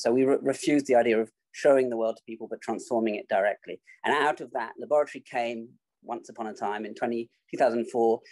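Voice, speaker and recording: Mid-pitched man, deliberate speaker. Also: a little mellow, rough recording. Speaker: panelist, artist-activist organiser. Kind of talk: considered self-introduction at a panel discussion. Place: online video call.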